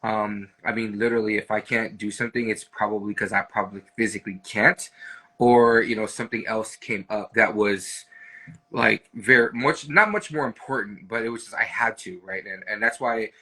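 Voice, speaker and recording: A man's voice: fairly low pitch, fairly even intonation, normal speed, pushed and bright. A man with an American accent co-hosting a casual live stream chat, talking in a rambling, conversational way with frequent check-in tags. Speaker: real estate team leader, co-host.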